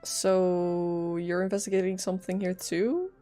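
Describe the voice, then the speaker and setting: A woman's voice: fairly low pitch, fairly even intonation, unhurried, warm tone. A woman live-streaming a game with casual, chatty and playful commentary. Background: faint game music.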